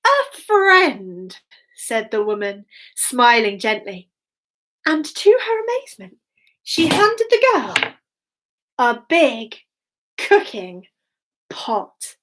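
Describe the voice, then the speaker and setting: A woman telling an interactive bedtime story to young children, expressive and encouraging, with sing-song phrasing and dramatic pauses. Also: a little high, poor audio.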